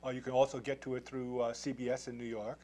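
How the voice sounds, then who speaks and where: A man's voice: medium pitch, little pitch movement, fast, darkish tone. A man hosting a TV interview, asking long, rambling questions with many restarts. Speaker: TV program host. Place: television studio.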